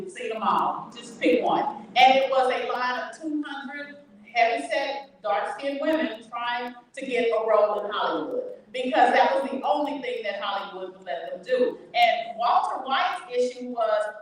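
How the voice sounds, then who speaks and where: A woman author tells stories at a bookshop event, lively and laughing as she goes. Her voice sits a little high. She is picked up from across a very echoey room. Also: recorded from a distance. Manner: animated storytelling with laughs.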